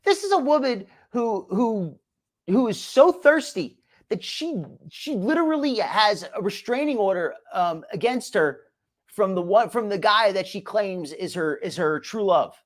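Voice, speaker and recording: A man's voice: sits high, expressive, unhurried. A man with a slight New Jersey accent hosting a show, gossiping and mocking sarcastically. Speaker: host. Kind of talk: mocking reaction commentary.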